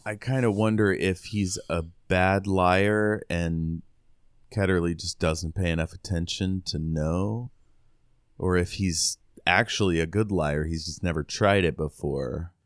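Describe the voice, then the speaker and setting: Man, low deep voice, dry close recording, podcast co-host, casual musing book chat. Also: steady tone, measured pace, no room sound. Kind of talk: casual chat discussing a novel.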